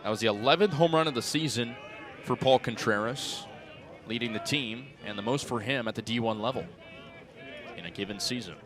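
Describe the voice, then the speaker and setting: A man in his twenties calling baseball play-by-play for radio in crisp, clipped phrases that lift on the action. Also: medium pitch, a little fast. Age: 20s. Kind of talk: live radio sports commentary. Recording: outdoor ballpark crowd behind voice. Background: ballpark crowd.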